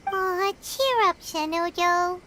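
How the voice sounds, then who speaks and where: A woman voicing a tiny cheerful fairy girl in a video game, with a squeaky, childlike, perky and teasing voice. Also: slow pace, sharp tone, slight background noise, full character voice.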